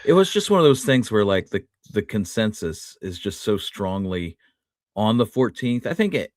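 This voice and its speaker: A man's voice: on the low side, very animated, moderate pace, darkish tone, dry recording, studio quality. A man co-hosting a casual history discussion, explaining points in an everyday conversational way. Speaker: history show co-host.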